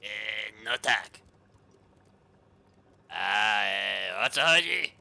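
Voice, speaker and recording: Casting: man playing a grumbling cartoon doctor, gravelly, swinging pitch, hesitant, music behind. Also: expressive, drawn-out words, bright, forceful tone, exaggerated voice. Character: put-upon, hesitant cartoon doctor, grumbling and foul-mouthed. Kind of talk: parody cartoon fandub acting.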